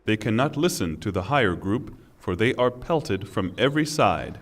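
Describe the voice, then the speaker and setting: A man reading a scripture translation in a formal, solemn and deliberate way. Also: lowish voice, animated, long reverb tail. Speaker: reader of Quran translation.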